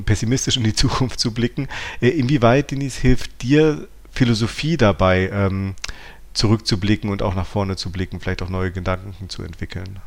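A man co-hosting a podcast, talking analytically with hesitant starts and frequent rephrasing. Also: on the low side. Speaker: podcast co-host.

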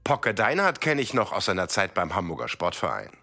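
An actor playing a calculating, self-assured broadcast executive talking in a mockumentary interview. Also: male voice, deep voice, wildly swooping pitch, fairly quick, vocal fry, sharp tone, heavily acted.

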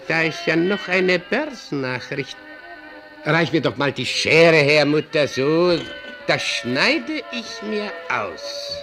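Radio drama: homely, fussy old doorman, lightish voice, unhurried, muttering with proud self-importance. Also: on the high side, full character voice. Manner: muttering, rambling, self-satisfied. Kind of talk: German radio drama acting.

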